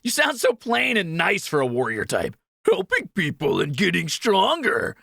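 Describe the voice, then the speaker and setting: A man's voice: high voice, huge pitch swings, moderate pace, gravelly, sharp tone, slightly rough, no echo, clean studio sound, heavily acted. A man playing a greedy, cheeky young thief in a fantasy audio roleplay, teasing and flippant. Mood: playful mocking.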